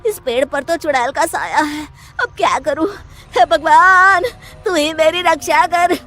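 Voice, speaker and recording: A woman's voice: high voice, unhurried, sharp tone. A woman voicing a timid, easily panicked male village farmer in a story, exclaiming and pleading dramatically. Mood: terrified.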